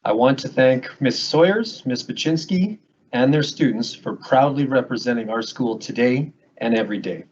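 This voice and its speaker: A man's voice: mid-range voice, moderate pace, a little mellow, lo-fi sound, slight background noise. A man presenting a town hall, formally thanking people and introducing the next segment.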